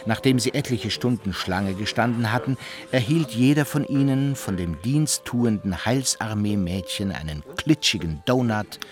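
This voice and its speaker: A man's voice: fairly low pitch, animated, moderate pace. A man narrating a radio play in a scripted, literary storytelling style. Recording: music behind the narration.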